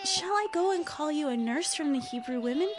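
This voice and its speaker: A woman's voice: sits high, expressive, a little fast. A woman playing an eager, polite young Hebrew girl in dramatized scripture audio.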